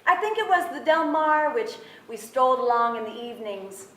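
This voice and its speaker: A gentle sister, highish voice, careful phrasing, echoey theatre, bit far from mic. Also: female voice, animated, moderate pace. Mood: fond. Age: young adult. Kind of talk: dramatic staged reading. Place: theatre stage before an audience. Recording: echoey theatre, distant microphone.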